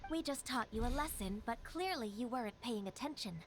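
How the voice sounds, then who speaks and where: An actress voicing a calm, formal female secretary in a game. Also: on the high side, soft background music, natural voice. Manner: formal, polite, measured.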